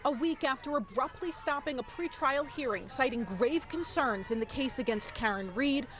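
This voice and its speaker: A woman's voice: high voice, expressive, normal speed, fairly bright tone, soft background music. A woman reporting for television news in a scripted reporter's delivery.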